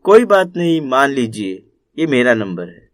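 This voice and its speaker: A man voicing a humble, kind, earnest young rickshaw driver in an animated moral story. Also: medium pitch, unhurried, no room sound, clean studio sound. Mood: reassuring. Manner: earnest, considerate.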